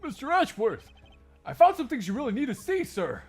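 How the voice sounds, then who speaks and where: A man voicing an eager, bumbling police detective in a game fandub, booming and deferential. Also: sing-song, a little fast, husky, full character voice. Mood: eager, excited. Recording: music behind the voice.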